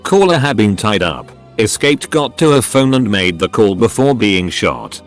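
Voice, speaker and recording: A man narrates written stories for an online audience. His voice sits fairly low and moves through a lively range of pitch, with faint music playing behind the reading. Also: normal speed. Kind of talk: scripted narration of forum stories.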